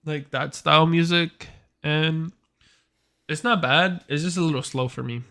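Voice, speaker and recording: A man's voice: fairly high pitch, a little slow, vocal fry, bright, forceful tone. A young man of Puerto Rican background co-hosting a podcast, talking casually in a rambling, slangy way. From Puerto Rican family background. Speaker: podcast co-host. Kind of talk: casual chat.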